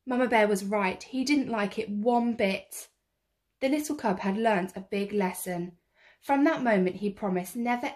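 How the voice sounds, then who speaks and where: A woman reading a children's picture book aloud in an expressive, theatrical way, drawing out the action words. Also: mid-range voice. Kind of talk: expressive read-aloud storytelling.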